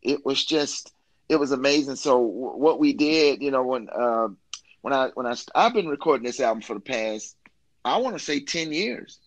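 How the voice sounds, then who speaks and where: An enthusiastic man in an informal interview chat, speaking as a musician about his work. His voice has an ordinary middle pitch and is recorded very dry, with little room sound. His phrasing is loose and friendly slang. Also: animated, normal speed, no room sound, rough recording. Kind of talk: casual chat about career and new album.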